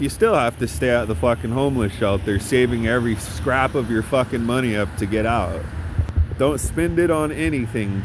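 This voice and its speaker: A man's voice: mid-range voice, background noise. A man giving a blunt, profane monologue, full of sarcastic asides. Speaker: vlogger.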